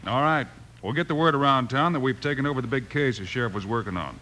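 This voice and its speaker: An actor playing a cool, resolute frontier marshal with an American accent, terse and commanding, in a 1950s radio western. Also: male voice, mid-range voice, fast talker, pushed and bright, natural voice. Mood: calm, determined. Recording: noisy old-time radio recording.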